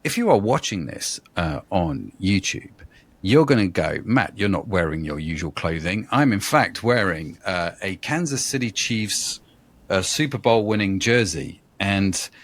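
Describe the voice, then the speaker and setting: A man's voice: low-pitched, lively intonation, measured pace. A man in his fifties hosting a podcast, chatty and self-deprecating, wandering off on tangents. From England. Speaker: podcast host. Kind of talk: casual interview chat.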